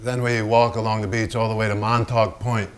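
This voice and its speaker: An actor in a staged reading playing a brash, vulgar and troubled celebrated painter, with a raw, profane, halting delivery. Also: male voice, on the low side, little pitch movement, natural voice. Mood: haunted, fearful. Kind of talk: theatrical staged reading, dramatic monologue.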